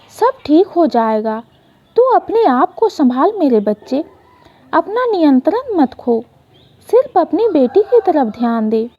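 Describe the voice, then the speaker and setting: A woman's voice: sits high, no echo, lo-fi sound, full character voice. A woman voicing an elderly mother in an emotional story, comforting and firmly steadying her grown son. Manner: comforting, firm.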